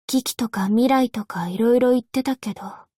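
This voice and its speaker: A woman playing an upbeat, playful young angel courier girl in a Japanese anime game, with a perky, teasing, expressive delivery. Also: a little high, normal speed, slightly rough, no echo, clean studio sound. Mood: exasperated.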